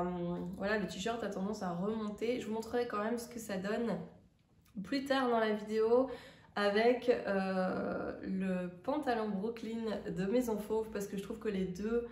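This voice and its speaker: A woman with a stuffy nose from a cold, chatting casually as a sewing vlogger and pausing often with fillers. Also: on the high side. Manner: chatty, hesitant with many fillers. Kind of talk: casual vlog chat. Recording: roomy, distant from the mic.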